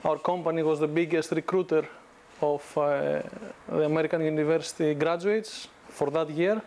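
Greek man giving a relaxed lecture. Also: on the high side, normal speed. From Greece. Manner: relaxed, conversational, frequent restarts and fillers. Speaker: guest lecturer. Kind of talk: informal business lecture. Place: university classroom. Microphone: lapel mic.

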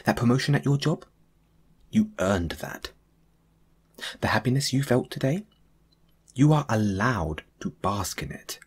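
Breathy, hushed man, slow and comforting. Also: mid-range voice, animated. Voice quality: breathy, hushed, at times whispering. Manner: gentle, reassuring, intimate. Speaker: audio roleplay performer. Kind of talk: soft comforting audio roleplay monologue.

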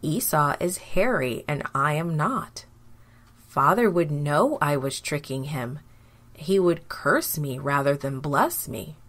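A woman's voice: low voice, expressive, slow, fairly bright tone, heavily acted. A woman playing a dutiful but deceitful young son in a children's Bible story, speaking plainly and earnestly. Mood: worried.

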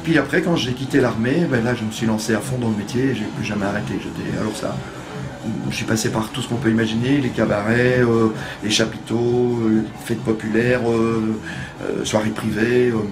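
A man's voice: fairly low pitch, steady tone, a little fast, poor audio. A man, chatting casually as a singer being interviewed, with frequent hesitations. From Belgium. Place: small kitchen backstage at a bar. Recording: music playing in background.

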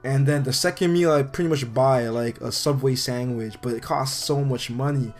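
A young man with a hoarse, fading voice chatting casually about a workout in a gym, rambling a bit and laughing now and then. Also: medium pitch, normal speed, soft background music. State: hoarse, losing his voice. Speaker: fitness vlogger and trainer. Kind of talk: casual workout vlog talk. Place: gym, indoors.

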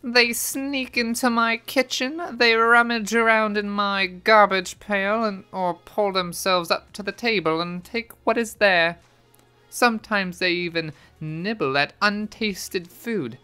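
Someone doing a snooty housewife from a kids book, high bright voice, indignant, complaining. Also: clear, resonant tone, faint music behind. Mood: disgusted, complaining. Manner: complaining, drawn-out. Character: indignant, fussy French housewife disgusted by mice.

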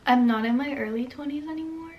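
A woman in her twenties talking to camera in her bedroom, rambling warmly with lots of "like" fillers and little laughs. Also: high-pitched, fast. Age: 20s. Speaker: vlogger. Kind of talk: casual reflective talk to camera. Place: bedroom, indoors.

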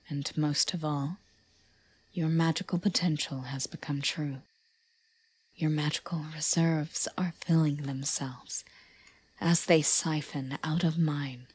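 A woman playing a regal, possessive yandere elven queen in an audio drama, breathy and often whispery, with a solemn, intimate, measured delivery. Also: deep voice, darkish tone, heavily acted. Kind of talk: slow roleplay audio drama.

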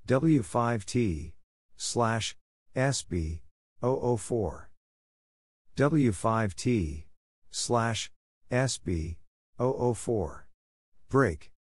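A man narrating practice radio exchanges from a script, reading short phrases in a measured, deliberate way and repeating them. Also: lowish voice, no echo, clean studio sound. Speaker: narrator of Morse code practice drills.

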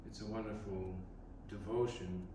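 Man, priest, sermon, reflective, pausing often, distant camera, church hum in the background. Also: on the low side, steady tone. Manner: slow, reflective, with pauses and restarts. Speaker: Orthodox priest. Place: large church, indoors. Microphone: distant camera in the congregation. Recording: echoey church from far off, background noise.

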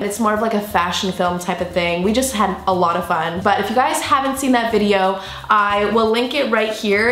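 A woman's voice: fairly high pitch, fairly bright tone. A young woman, slightly nasal from a cold, chatting casually and playfully to the camera as a vlogger. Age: young adult. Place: living room or bedroom indoors. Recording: roomy indoor echo.

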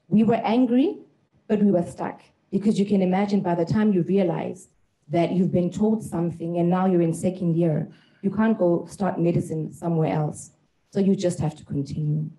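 A woman's voice: lowish voice, warm tone, low-quality recording. A woman in her thirties speaking earnestly and emphatically as a panelist, holding a handheld mic in an indoor conference room. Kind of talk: personal testimony at a panel.

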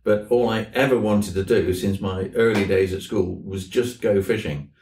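A man in his seventies from southwest England, reminiscing in an unhurried, anecdotal way with old-fashioned turns of phrase. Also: fairly low pitch, darkish tone, a little hiss or hum. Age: 70s. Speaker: guest, fly fishing instructor. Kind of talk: reminiscing interview conversation. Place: indoors in a tackle shop. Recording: roomy, slightly distant mic.

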